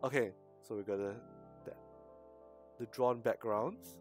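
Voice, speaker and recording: A man's voice: medium pitch, unhurried. A man livestreaming a visual novel, talking casually in hesitant, rambling asides that often drop into a mumble. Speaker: streamer. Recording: music behind the voice. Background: game music.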